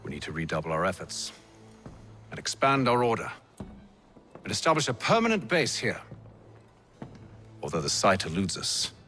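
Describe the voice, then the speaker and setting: Deep-voiced, solemn gentleman leader. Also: very animated, soft background music, heavily acted. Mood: composed, authoritative. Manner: formal, solemn, measured. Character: composed, commanding gentleman leader of a secret order. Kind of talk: dramatic video game acting.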